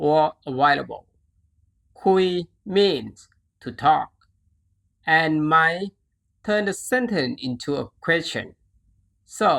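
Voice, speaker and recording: A language teacher presents a scripted phrase lesson in a bright, forward voice. The delivery is very slow, with lively pitch movement. Phrases are broken down syllable by syllable, repeated and then explained with deliberate enunciation. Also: gravelly.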